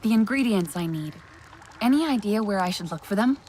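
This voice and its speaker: An actress playing an earnest, caring young alien heroine in a video game, with a gentle and direct way of talking. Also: female voice, medium pitch, expressive, brisk, slight background noise, lightly acted. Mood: questioning. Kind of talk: video game character acting.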